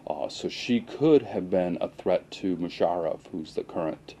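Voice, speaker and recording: A man speaking as a police lieutenant interviewed on the news, answering in a measured, cautious, hesitant way. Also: low-pitched, animated, warm tone. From Pakistan, moved to the US at age seven.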